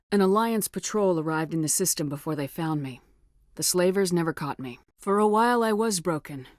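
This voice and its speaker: Steely low-voiced female starship commander played by an actress. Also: darkish tone, no room sound, studio quality, natural voice. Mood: somber. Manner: firm, direct, controlled, authoritative. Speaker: video game protagonist. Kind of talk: scripted video game dialogue acting.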